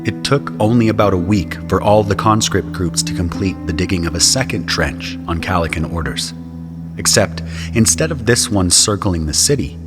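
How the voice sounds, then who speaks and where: A man narrating a scripted audio drama in the first person. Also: sits low.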